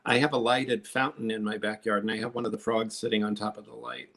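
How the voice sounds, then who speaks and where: A man's voice: fairly low pitch, flat delivery, quick pace, creaky, a bit bright. A man on a live online sale, chatting casually as he shows and explains his glass pieces. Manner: chatty, explains techniques while showing pieces.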